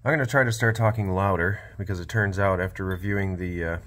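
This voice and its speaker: A man narrating his small-engine repair work in a casual, quiet, low-key way, recorded on a cell phone in a home workshop. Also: quick pace, a little hiss or hum. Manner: quiet, low-key, self-described monotone.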